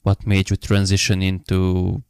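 Podcast host, man, low, even, smooth voice, dry close mic. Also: no room sound. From Hungary. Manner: measured, with frequent "um" and "uh" fillers. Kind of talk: podcast interview.

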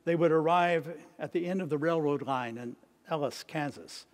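An elderly man delivers a sermon from the lectern of a stone church. His voice sits a little high for a man. He reads from his notes in deliberate phrases, pausing between them. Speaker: priest, preacher.

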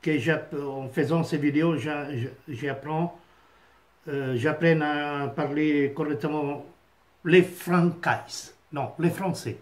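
A man in his fifties with an Italian accent, chatting haltingly to camera from a small home office, with many fillers and restarts. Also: a little high. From Italy. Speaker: vlogger. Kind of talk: casual rambling monologue to camera. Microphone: phone camera on a stand at desk.

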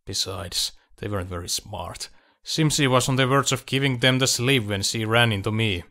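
Man playing a confident, bright-voiced military adviser. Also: mid-range voice, moderate pace. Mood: dry, matter-of-fact. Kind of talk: audiobook character dialogue.

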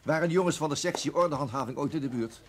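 A man's voice: fairly high pitch, very fast, a little hiss or hum, lightly acted. A man playing an insistent, argumentative police detective in a radio play, pressing his points with emphasis. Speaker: actor in a radio police drama. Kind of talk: Dutch radio play acting.

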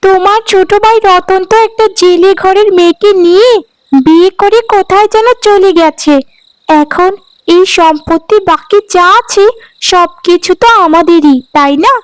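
A woman's voice: very high voice, fairly even intonation, moderate pace, dry recording, low-quality recording. A woman voicing a greedy, scheming, bossy young village wife in a cartoon, domineering and wheedling by turns. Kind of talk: cartoon voice acting.

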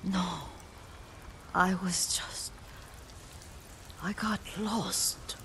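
An older woman acting a frail, confused, tender old servant in a game cutscene, with a breathy, halting, wistful delivery. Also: mid-range voice, fairly even intonation, slight background noise, exaggerated voice. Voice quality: breathy, whispery. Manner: halting, wistful, softly trailing off. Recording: music behind the voice.

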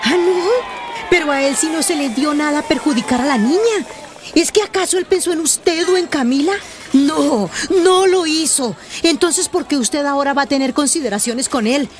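Feisty radio soap mother played by an actress: Colombian woman, high-pitched, blunt, emphatic delivery. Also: moderate pace, natural voice. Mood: indignant, urging. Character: outspoken, feisty mother and neighbor. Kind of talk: radio soap opera acting.

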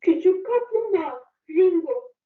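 Very high-voiced, slow female storyteller. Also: lively intonation. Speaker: storyteller reading a bedtime tale to children. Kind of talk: slow children's fairy-tale narration. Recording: muffled, roomy, far from the mic.

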